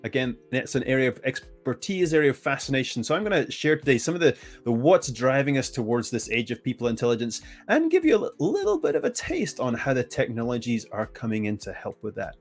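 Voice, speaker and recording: A man's voice: mid-range voice, quick pace, few pauses, faint music behind. A man whose first language is English, giving a conference presentation with energy and often restarting his phrases. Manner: energetic, self-correcting, frequent restarts. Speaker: conference speaker.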